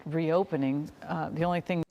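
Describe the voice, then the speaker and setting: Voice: medium pitch, steady tone, moderate pace, darkish tone, muffled recording. Earnest speaker in a news clip.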